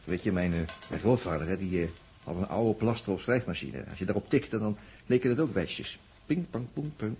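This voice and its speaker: A man's voice: on the low side, expressive, fairly quick, a little mellow. An actor playing a chatty, wry crewman in a 1960s Dutch radio drama, rambling and repeating himself in a Netherlands accent. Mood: musing. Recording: noisy 1960s radio recording.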